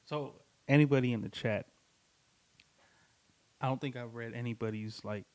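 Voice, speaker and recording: A man's voice: medium pitch, fairly even intonation, normal speed, darkish tone, clean tone. A man co-hosting a podcast in a recording studio, talking casually in a rambling, slangy way with many restarts. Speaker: podcast co-host. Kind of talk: casual chat.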